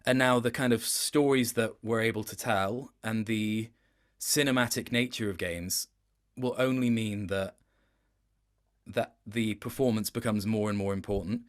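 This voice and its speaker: A man's voice: lowish voice, steady tone. A man chatting as an interview guest about his work, reflective, often restarting and correcting himself mid-sentence. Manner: reflective, self-correcting, halting phrasing. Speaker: interview guest, video game voice actor. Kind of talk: casual interview chat.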